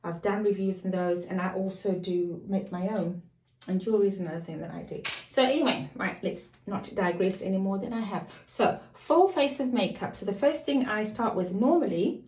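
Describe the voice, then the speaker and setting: A middle-aged woman with a slightly croaky voice, casually chatting to camera as a lifestyle vlogger, rambling off on tangents. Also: medium pitch, a little mellow. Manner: rambling, digresses and apologizes. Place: home. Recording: echoey room.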